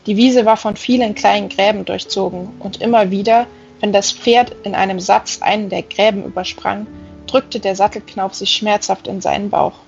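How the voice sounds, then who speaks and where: A woman's voice: mid-range voice, steady tone, moderate pace, vocal fry, soft background music. A woman reading a fantasy novel aloud as scripted audiobook narration. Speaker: audiobook reader. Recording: dull lo-fi sound.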